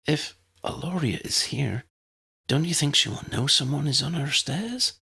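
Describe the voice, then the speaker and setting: A man voicing a calm, practical, cautious woman in an audiobook story, speaking in a quiet, reasoning murmur. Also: fairly high pitch, unhurried, creaky, slightly rough, pitched above the performer's own voice, exaggerated voice. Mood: wary.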